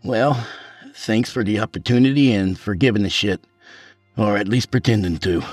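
A man's voice: on the low side, moderate pace, no echo, faint music behind, voice pushed up, natural voice. A man playing a bitter, volatile, drunken lawyer in an audiobook story, sarcastic and prone to anger. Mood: bitter sarcasm.

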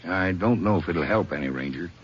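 A man's voice: low-pitched, brisk, close to an everyday voice. A man playing a kindly, flustered country rancher in a 1950s radio western, with a folksy rustic manner. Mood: puzzled, kindly. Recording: muffled, noisy 1950s radio recording.

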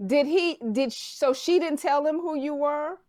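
A woman in casual group chat, laughing and teasing, quick to jump in. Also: sits high, fairly even intonation, darkish tone, no echo, studio quality.

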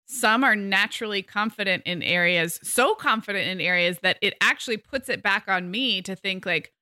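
A woman's voice: medium pitch, normal speed, sharp tone, no room sound, studio quality. A woman hosting a casual conversation, reflective and friendly as she thinks aloud.

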